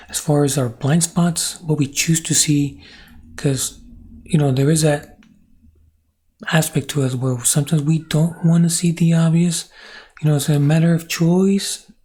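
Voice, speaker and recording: Man rambling thoughtfully, mellow husky voice. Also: fairly high pitch. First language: Spanish. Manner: rambling, thinking aloud with many restarts and "right?". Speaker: channel host. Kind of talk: reflective monologue.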